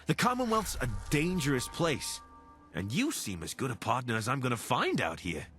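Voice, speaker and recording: An actor playing an affable, curious wasteland scavenger in a video game, casual, wry and eager to team up. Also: male voice, medium pitch, big pitch swings, normal speed, pushed and bright, faint music behind. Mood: persuasive. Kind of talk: video game companion dialogue.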